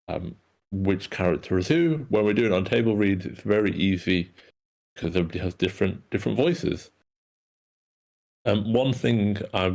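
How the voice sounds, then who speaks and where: A man giving informal, halting script critique in an online meeting. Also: low voice, gravelly, darkish tone. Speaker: script reader giving feedback.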